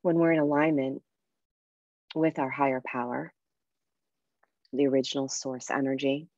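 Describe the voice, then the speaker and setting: Woman, meditation guide, gentle and unhurried, level intonation, pauses between phrases, slightly dull lo-fi sound. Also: extremely low pitch, level tone, no echo. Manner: soft, unhurried, soothing delivery with pauses. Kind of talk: guided meditation with breathing instruction.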